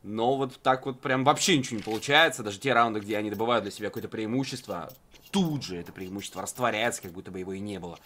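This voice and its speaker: A man's voice: on the low side, fast talker, bright, forceful tone, a little hiss or hum. A man giving conversational live esports commentary in a wry, joking manner.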